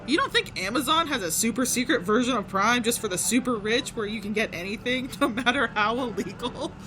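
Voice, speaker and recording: A woman's voice: fairly high pitch, animated, a little fast, over music, natural voice. A woman playing a rich, bored, sardonic fixer with a blasé, wry delivery in an audio drama. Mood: bored, smug.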